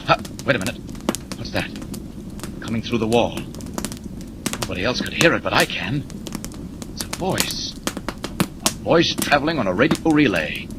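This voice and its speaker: An actor playing a brisk heroic newspaper reporter with a secret identity, in urgent 1940s radio serial style. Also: male voice, medium pitch, animated, close to an everyday voice. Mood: alert, suspicious. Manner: urgent, self-narrating, stammering when pressed. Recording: noisy vintage 1940s radio recording.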